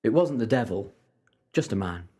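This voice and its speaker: A man playing a confident, sharp-tongued time-travelling hero in an audio drama, sardonic and self-assured. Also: fairly low pitch, expressive, moderate pace, breathy edge. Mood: matter-of-fact. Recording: long hall-like reverb.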